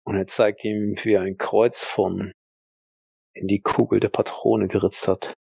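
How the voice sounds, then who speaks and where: A man narrating a role-play audio drama in short fragments, grave and deliberate. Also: lowish voice, level tone, gravelly, a little mellow, husky, no room sound, highs cut off.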